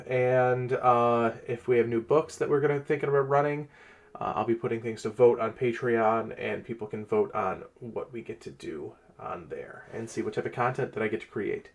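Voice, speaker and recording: A man giving a casual, conversational update as the host of a tabletop gaming channel. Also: medium pitch.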